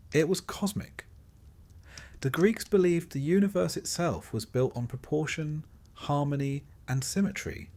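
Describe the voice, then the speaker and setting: A man narrating a scripted sleep story in a calm, measured manner with dry, wry touches and a husky voice. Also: mid-range voice.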